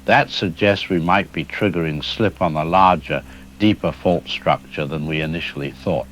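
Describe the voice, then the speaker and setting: An actor playing a calm, middle-aged seismologist who explains things carefully and hedges his words, as a guest in a news interview drama. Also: a bass voice, moderate pace, slight background noise. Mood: measured. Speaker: expert guest.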